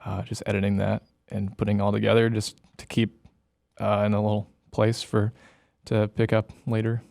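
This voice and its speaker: Hesitant young man, low flat voice. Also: normal speed, creaky, no echo, studio quality. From Iowa. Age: young adult. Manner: hesitant, many "uh" and "like" fillers. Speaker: guest, student videographer. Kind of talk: casual podcast interview. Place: campus podcast studio.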